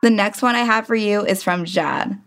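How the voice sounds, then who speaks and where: A woman's voice: mid-range voice, moderate pace, fairly bright tone. A woman hosting a podcast introduces listener voicemails in a friendly, bantering way. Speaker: podcast host. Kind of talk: casual podcast chat.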